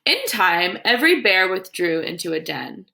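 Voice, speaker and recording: Expressive, creaky-voiced woman reading to children. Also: fairly low pitch. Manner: instructive, pausing to ask children questions. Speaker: teacher reading aloud to young children. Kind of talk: read-aloud lesson with commentary and questions.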